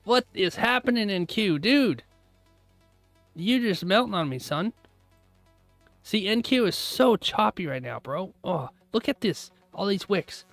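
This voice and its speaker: A man's voice: sits high, animated, a little slow, a bit bright, no room sound, soft background music. A man hosting a live trading stream, chatting casually with his viewers, laughing often and rambling through asides. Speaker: trading livestream host.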